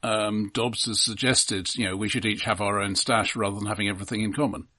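A man co-hosting a podcast, discussing film casually and analytically in halting, self-correcting phrases. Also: lowish voice, fairly even intonation, sharp tone, no echo.

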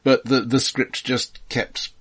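A man's voice: mid-range voice, level tone, slow, a bit bright, breathy edge. A man co-hosting a podcast, giving wry, opinionated views in a casual film discussion. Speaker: podcast co-host.

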